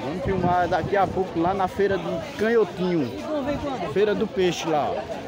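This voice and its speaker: High-voiced Pernambuco man in a busy market. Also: normal speed. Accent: Northeastern Brazilian, Pernambuco. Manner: calls out and greets passersby informally. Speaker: vlogger filming a street market. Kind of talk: casual chatty walk-through commentary. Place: outdoor street market. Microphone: handheld phone held by the person filming. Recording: busy outdoor crowd and street noise.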